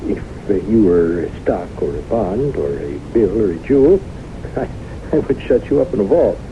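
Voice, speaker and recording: An actor playing an earnest, formal, middle-aged businessman suitor, speaking deliberately and courteously in a radio drama. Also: fairly low pitch, expressive, lightly acted. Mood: courtly, earnest. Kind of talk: 1970s radio drama acting. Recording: noisy muffled old radio broadcast recording.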